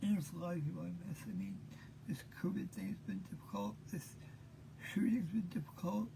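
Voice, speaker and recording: A man in his fifties hosting an unscripted monologue, talking haltingly with many pauses, tired and short of breath. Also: sits high, expressive, gravelly, noisy background. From Maine. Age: 50s. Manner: halting, full of pauses and fillers. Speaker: host.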